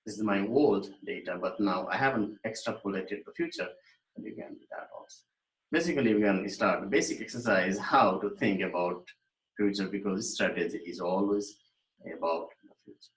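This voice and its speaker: A man, giving a recorded online lecture in a thoughtful, explanatory way, pausing with fillers and restarting his sentences. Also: on the low side. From Nepal. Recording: echoey room.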